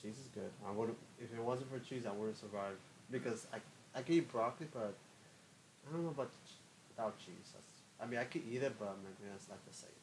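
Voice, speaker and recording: Easygoing rambling co-host. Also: male voice, mid-range voice, quick pace, slight background noise, poor audio. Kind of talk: casual live stream chat.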